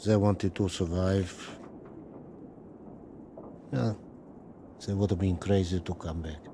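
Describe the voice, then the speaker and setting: An actor playing a polite, resigned Soviet engineer in a game, with a Russian accent and halting English. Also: male voice, low voice, fairly even intonation, noisy background. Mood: resigned, sorrowful. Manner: halting, sparse non-native English phrasing. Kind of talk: naturalistic video game drama acting. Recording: loud music behind dialogue.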